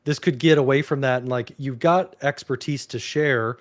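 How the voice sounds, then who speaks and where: A male host leads a casual conversation in a relaxed, chatty way. He leans on "like" and "you know" as he explains things, with a voice of ordinary middle pitch. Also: normal speed. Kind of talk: casual chat on a video call.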